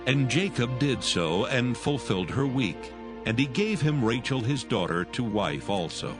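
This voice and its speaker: A man narrating dramatized scripture as a solemn, measured storyteller. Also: medium pitch, expressive. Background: music.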